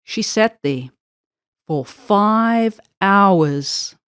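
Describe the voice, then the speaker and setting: Female storyteller for children's read-aloud, very animated pitch, measured phrasing with drawn-out key words, dry recording. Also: smooth, dry recording. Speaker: storybook narrator.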